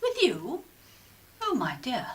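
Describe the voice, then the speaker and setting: A woman acting a middle-aged English mother in a radio play. Her voice is fairly high with lively rises and falls. She is composed, supportive and gently questioning. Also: slow pace, heavily acted. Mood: concerned, questioning.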